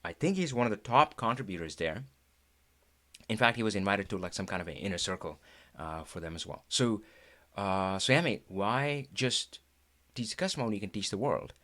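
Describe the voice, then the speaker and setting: Chatty Indian man, lowish voice. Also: moderate pace. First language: Hindi. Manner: animated, laughing often, chatty with fillers. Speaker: host. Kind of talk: live-stream talk.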